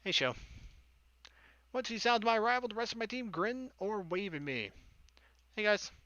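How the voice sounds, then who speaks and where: Male let's player, visual novel reading, casual sarcastic asides, forceful bright voice, dry close sound. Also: sits high, lively intonation, moderate pace, dry recording, muffled recording.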